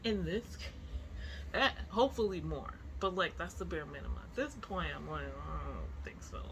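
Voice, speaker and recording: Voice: mid-range voice, animated, normal speed. A middle-aged vlogger talking casually and candidly in a chatty, informal video diary. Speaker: book vlogger. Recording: clear background noise, room ambience.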